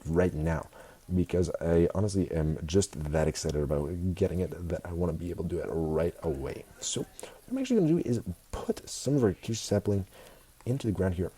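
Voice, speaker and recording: Stuffy-nosed man excitedly narrating gameplay. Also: low voice, a little hiss or hum. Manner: excitable, repeats words, keeps saying guys. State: stuffy nose from a cold. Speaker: gaming video host. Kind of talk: casual Minecraft commentary.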